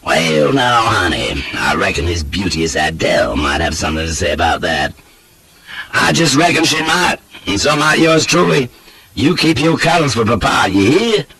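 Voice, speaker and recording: Audiobook role: profane, blustering old gentleman, acted by a man, husky and forceful, lecherous and full of himself. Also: on the low side, big pitch swings, normal speed, heavily acted. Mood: jovial, leering.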